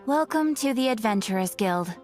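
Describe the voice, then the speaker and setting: A woman playing a composed, courteous guild receptionist in a video game, formal, measured and helpful. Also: a little high, lively intonation, a little mellow, faint music behind, natural voice.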